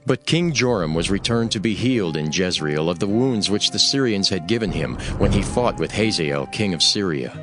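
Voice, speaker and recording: A man serves as narrator of a dramatized scripture recording. His voice sits fairly low and moves expressively across a wide range. Music plays clearly underneath. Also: expressive, normal speed, few pauses.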